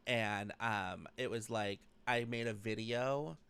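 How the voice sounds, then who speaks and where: A man's voice: fairly low pitch, steady tone, slow pace, a bit bright, clear, resonant tone. An American man in his thirties, chatting casually as he hosts an interview podcast. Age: 30s. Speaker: podcast host.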